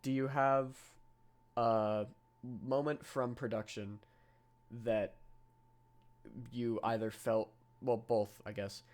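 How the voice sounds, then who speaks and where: A man's voice: mid-range voice, steady tone, slow pace, long pauses, darkish tone. A young man hosting a call interview, asking long, rambling questions with restarts. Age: young adult. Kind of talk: casual interview over a call.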